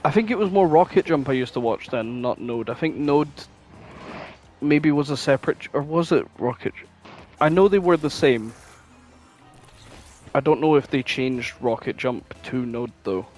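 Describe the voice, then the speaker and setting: A man co-hosts a podcast in loose, informal conversation, swearing freely as he goes. His voice sits at a middle pitch, and music plays behind him. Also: moderate pace, a little mellow. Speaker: podcast co-host. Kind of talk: casual chat, reminiscing.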